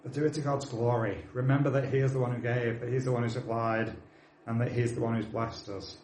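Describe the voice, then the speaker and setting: A man's voice: mid-range voice, fairly even intonation, fairly quick, a little mellow, reverberant, slight background noise, poor audio. A man of forty with a British accent, preaching a sermon, earnestly urging his congregation and dropping in plain, friendly asides. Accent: British English. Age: 40s.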